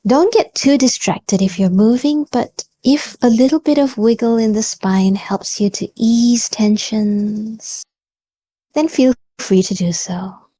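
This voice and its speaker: A woman guides a meditation at a very unhurried pace. Her voice is soft-edged and mellow, recorded close and dry. She speaks gently and calmly, encouraging the listener with pauses between short phrases. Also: fairly high pitch, no echo. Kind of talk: guided body scan meditation.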